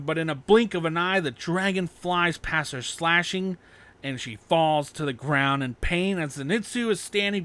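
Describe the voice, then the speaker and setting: A male narrator reads an action story aloud in a dramatic style. His voice sits a little high and has a bright, forceful tone. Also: dry recording.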